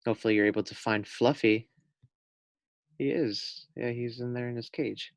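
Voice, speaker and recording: A young man, a teacher, reading a picture book aloud to first graders, slow and gentle with asides to the children. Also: medium pitch, fairly even intonation, no room sound, studio quality.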